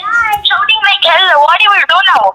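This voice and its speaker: A woman's voice: extremely high pitch, drawn-out words, background noise. A woman on a phone call, arguing indignantly and scolding the caller. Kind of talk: heated phone argument. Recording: tinny phone line on speaker.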